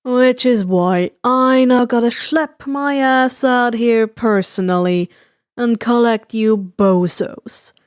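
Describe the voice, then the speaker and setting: A woman's voice: fairly high pitch, takes it slowly, clean tone, dry recording. A woman voicing a tired, blunt, exasperated male villain in a story reading. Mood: weary, annoyed. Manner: dry, blunt, irritated.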